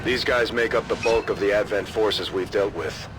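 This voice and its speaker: An actor playing a stern, businesslike military commander giving measured, authoritative mission briefings in a video game. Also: male voice, fairly low pitch, background noise, natural voice. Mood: serious, resolute.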